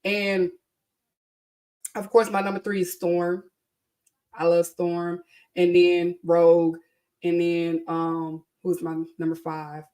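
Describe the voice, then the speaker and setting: A woman's voice: fairly low pitch, flat delivery, takes it slowly, long pauses, warm tone. A woman in her thirties hosting a podcast in casual solo chat, rambling with lots of ums and asides, her voice a bit congested from allergies. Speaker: podcast host.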